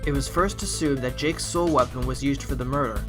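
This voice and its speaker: A man playing an earnest, confident fox defence lawyer in an audio drama, reasoning aloud deliberately. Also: medium pitch, lightly acted. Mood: pondering. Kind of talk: audio drama courtroom acting. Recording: prominent music under the voice.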